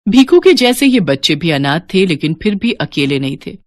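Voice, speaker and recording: उतार-चढ़ाव से भरी महिला कथावाचक. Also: female voice, lowish voice, normal speed. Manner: expressive storytelling. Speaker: narrator. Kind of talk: scripted children's story narration.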